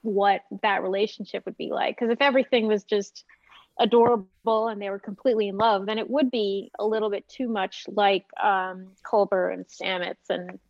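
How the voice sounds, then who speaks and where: A woman's voice: medium pitch, steady tone, normal speed, a little mellow, no room sound. Woman in a dull, dry recording. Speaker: podcast panelist. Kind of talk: casual chat, analytical discussion.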